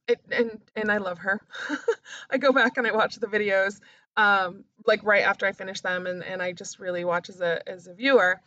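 A woman speaking as a coach and podcast host, sharing personal reflections in a candid, confessional way, halting and stressing key words. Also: mid-range voice, pushed and bright, no room sound, dull audio. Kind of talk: personal reflective talk to camera.

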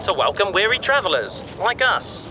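A man's voice: sits high, big pitch swings, moderate pace, noisy background. A man playing a bookish, enthusiastic space adventurer who explains things chattily in a sci-fi audio drama. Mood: explaining, enthusiastic. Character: male bookish, eager space adventurer and explorer.

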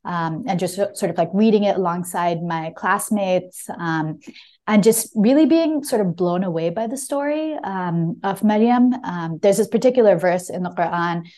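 A woman's voice: lowish voice, moderate pace, warm tone. A woman talking as a guest author about a memoir, reflective and conversational, with frequent small hedges. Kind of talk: casual book talk and reading from own memoir.

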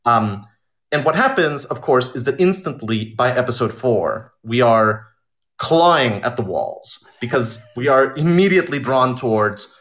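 A man talking in an informal interview as an audio drama creator, telling stories in an animated way and voicing imagined quotes. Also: mid-range voice, unhurried. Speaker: audio drama writer and director being interviewed.

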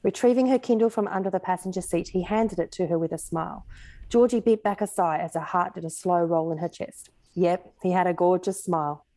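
A woman's voice: fairly low pitch, brisk, mellow, no echo, low-quality recording. A woman with an Australian accent, an author talking casually and reading fiction aloud as a guest.

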